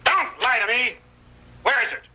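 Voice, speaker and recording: An actor playing a ruthless, scheming outlaw killer with menacing tough talk in an old radio western. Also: male voice, very high-pitched, animated, moderate pace, sharp tone, heavily acted, rough recording. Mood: threatening. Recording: vintage radio drama with music bed.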